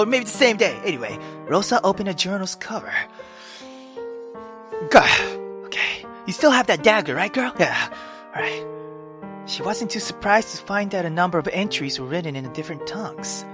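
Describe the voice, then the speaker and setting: A woman reading a visual novel aloud as a gamer, dramatic and expressive, breaking off into exclamatory, sweary asides. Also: medium pitch. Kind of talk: dramatic reading with reaction commentary. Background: game music.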